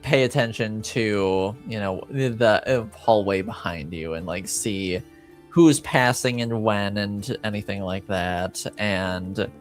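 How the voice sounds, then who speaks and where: Man, game master, lowish voice, halting casual delivery, improvised tabletop narration, faint background music. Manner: halting, hesitant, casual phrasing.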